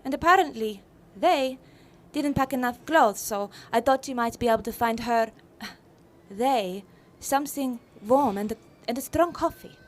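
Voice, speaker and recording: An actress playing a warm, proud feminist mother from Iceland in a radio play, brisk and welcoming as she explains things. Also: on the high side, lightly acted. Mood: brisk, friendly.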